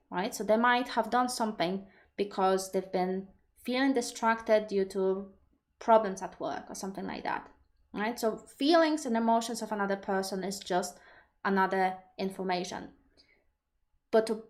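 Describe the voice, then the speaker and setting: Polish-accented woman hosting a livestream Q&A. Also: medium pitch. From Poland. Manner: thoughtful, explanatory, reads viewer questions aloud. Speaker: livestream host. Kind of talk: live Q&A talk.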